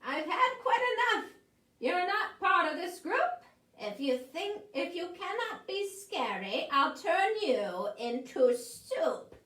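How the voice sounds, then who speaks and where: Screechy mean witch put on by a lady reading aloud, way up high, swoopy, echoey room a bit off mic. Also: big pitch swings, slow, sharp tone, distant mic, heavily acted. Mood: angry. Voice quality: shrill, screechy. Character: screeching bossy female witch, cruel.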